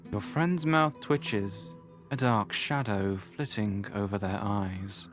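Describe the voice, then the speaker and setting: The narrator of a gothic visual novel. His voice sits fairly low and he tells the tale in measured, literary phrases. Soft music plays behind him. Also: fairly even intonation. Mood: grave.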